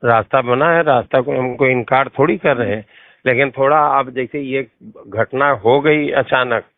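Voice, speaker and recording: A man's voice: mid-range voice, moderate pace, no room sound. Defensive, placating man on a phone line. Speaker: politician on a recorded phone call. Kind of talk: private phone argument. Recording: tinny lo-fi phone call recording.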